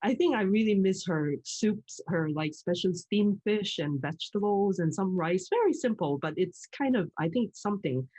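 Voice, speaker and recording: A woman's voice: medium pitch, expressive, normal speed, few pauses, warm tone. A middle-aged woman from Hong Kong, a guest in casual chat, talking freely with frequent laughs and restarts. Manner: chatty, laughs often, many restarts.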